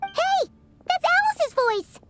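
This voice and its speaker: A little female companion creature from a fantasy game. The voice is extremely high and squeaky, with very lively swings in pitch. It is childlike and excitable, full of exclamation. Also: slow pace, loud music behind the voice, heavily acted. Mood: surprised. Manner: exclaiming, speaks of self in third person. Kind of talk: video game voice acting.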